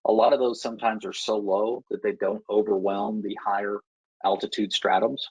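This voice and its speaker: An American man working as an air traffic controller, explaining procedures in a measured, instructive way with deliberate pauses. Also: fairly low pitch, level tone, a little mellow, husky, no echo, low-quality recording. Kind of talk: explanatory Q&A talk to pilots.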